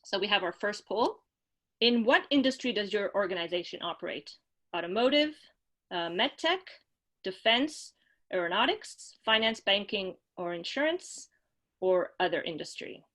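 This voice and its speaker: A woman's voice: mid-range voice, lively intonation, moderate pace, fairly bright tone. Woman hosting a webinar. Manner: friendly, with frequent fillers. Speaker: webinar host from the marketing team. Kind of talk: hosting a webinar, reading poll results.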